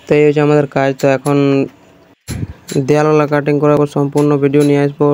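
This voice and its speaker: A man's voice: on the high side, completely flat delivery, fast talker, clean tone. A man narrating a casual vlog to viewers as a working electrician, recorded on a phone at a wiring job. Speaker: vlogger and electrician. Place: house room under wiring work. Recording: loud power-tool noise behind, phone recording. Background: power tools cutting walls.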